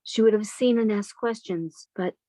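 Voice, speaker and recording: A woman's voice: medium pitch, moderate pace, dark tone, no room sound, natural voice. A woman playing an earnest, love-struck and defiant sister in her thirties, in an old-time radio melodrama style. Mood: anxious.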